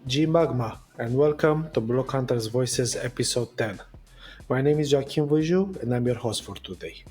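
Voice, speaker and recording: A man's voice: mid-range voice, a little mellow, husky. A man hosting an interview show, reading his introduction and questions in a formal way. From Romania. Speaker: podcast host.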